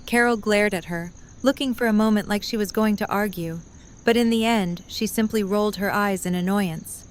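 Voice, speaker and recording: A woman's voice: medium pitch, moderate pace, slight background noise. A woman narrator reading a scripted story for an audio drama.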